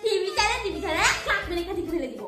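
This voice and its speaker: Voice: very high voice, lively intonation, very fast, echoey room. A performer voicing a bossy, scornful young girl in a children's cartoon, scolding and threatening in a haughty way over music. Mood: angry scolding. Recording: music behind the voice.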